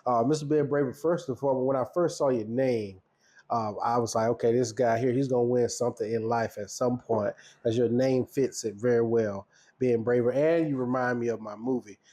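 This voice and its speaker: A man's voice: mid-range voice, steady tone, a little fast, dark tone. A man hosting an interview, asking questions in a friendly, chatty, playful way. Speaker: host. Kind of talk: casual interview chat.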